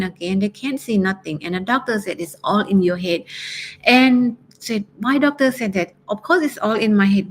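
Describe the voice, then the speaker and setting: A woman from Thailand with a Thai accent, speaking as a life coach in a solo motivational talk, pausing often and searching for words. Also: fairly low pitch, a little hiss or hum. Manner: hesitant, searching for words, many fillers.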